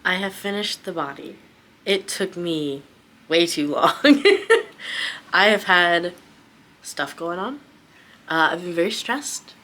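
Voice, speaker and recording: Young woman, book vlogger: low voice, informal and rambling, off-the-cuff chat. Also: animated, takes it slowly, pauses often, fairly bright tone. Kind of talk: casual unscripted chat.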